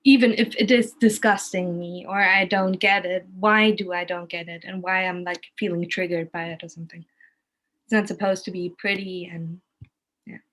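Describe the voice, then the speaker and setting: A woman's voice: fairly low pitch, steady tone, fairly bright tone, poor audio. German-accented woman searching for her words. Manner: halting, searching for words, thinking aloud. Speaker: interviewed art educator giving museum tours. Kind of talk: casual conversational explanation.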